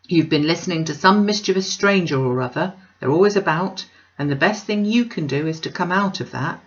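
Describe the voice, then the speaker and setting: A woman's voice: fairly low pitch, normal speed, muffled recording, natural voice. A woman voicing a pompous, self-satisfied wealthy man, emphatic and dismissive, in a storybook reading. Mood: scolding.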